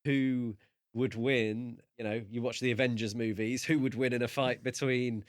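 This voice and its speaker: A man co-hosting a podcast, talking through a book casually in thoughtful, halting phrases. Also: medium pitch, fairly even intonation, no room sound, clean studio sound. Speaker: podcast co-host.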